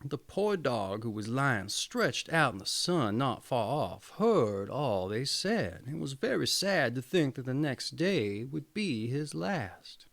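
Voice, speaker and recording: Animated male storyteller with swooping pitch. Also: takes it slowly, creaky, hardly any pauses. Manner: theatrical. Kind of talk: expressive storybook reading.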